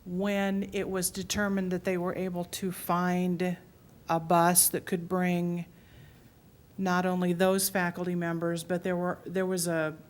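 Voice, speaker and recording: A middle-aged woman in an audience, speaking earnestly and with emotion about her memories. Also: unhurried. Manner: earnest, emotional, low and even. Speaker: audience member. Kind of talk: personal reflection at a public talk. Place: public library meeting room.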